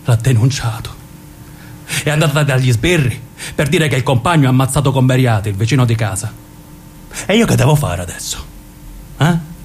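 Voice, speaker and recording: A man playing an insecure, tormented young mobster in a theatrical radio drama, halting and full of questions. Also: expressive, lightly acted. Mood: anguished. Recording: noisy recording with low rumble.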